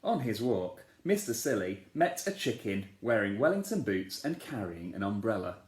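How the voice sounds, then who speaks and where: A man, a teacher, reading a children's storybook aloud in a playful, expressive way, asking the listeners questions. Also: lowish voice, normal speed. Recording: echoey room.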